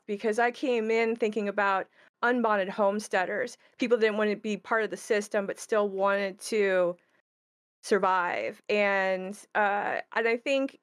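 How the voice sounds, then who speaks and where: A woman's voice: mid-range voice, smooth. A woman who works as a game designer, talking conversationally about how a game was made.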